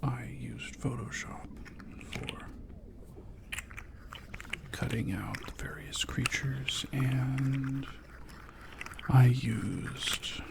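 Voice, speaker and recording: A man speaking in a soft, breathy whisper, chatting casually and low-key as an ASMR host. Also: extremely slow, pauses often. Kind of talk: soft-spoken ASMR, rambling casual chat.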